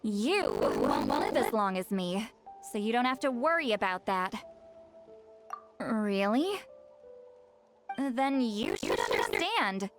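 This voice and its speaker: A woman playing an ageless, reclusive shaman in an anime-style video game, intimidating on the surface but awkward, lonely and halting in her speech. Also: fairly high pitch, sing-song. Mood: wistful. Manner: flustered, halting, stammering. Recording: music behind the voice.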